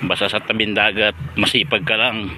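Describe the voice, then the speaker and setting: A man's voice: lowish voice, steady tone, moderate pace, noisy background. A man casually narrating a vlog outdoors on a beach, speaking politely to his viewers while filming fishermen with a phone camera. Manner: easygoing, chatty, addresses viewers politely. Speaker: vlogger filming local fishermen.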